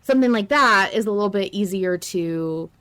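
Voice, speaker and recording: A woman's voice: mid-range voice, expressive, moderate pace, fairly bright tone, clean tone. A woman podcast host in a casual fan discussion, rambling a little as she thinks aloud.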